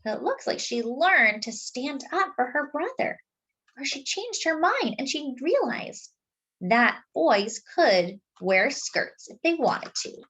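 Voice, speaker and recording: Slow, expressive woman reading to children. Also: high-pitched, low-quality recording. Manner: deliberate, explanatory for young listeners. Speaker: children's story reader. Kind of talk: expressive picture-book read-aloud with simple asides.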